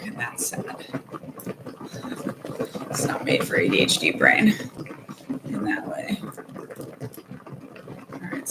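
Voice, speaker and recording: A woman's voice: fairly low pitch, noisy background. A young woman chatting casually on a livestream while knitting, rambling and laughing often. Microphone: phone mic with earbuds.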